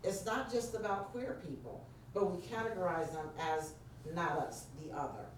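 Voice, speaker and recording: A woman with a New York accent and a deep voice, presenting an interactive workshop and prompting her audience directly. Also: expressive, normal speed. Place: church meeting room. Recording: very echoey room, distant mic, faint noise.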